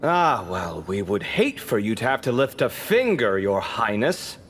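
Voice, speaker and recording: A man's voice: lowish voice, very animated, unhurried, slight background noise. An actor playing a dramatic, sarcastic young man in an English game dub.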